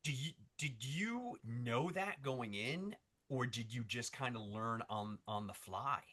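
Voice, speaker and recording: A man's voice: medium pitch, expressive, takes it slowly, sharp tone. A man co-hosting an interview, asking questions in an eager, emphatic, casual way. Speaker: interviewer, co-host.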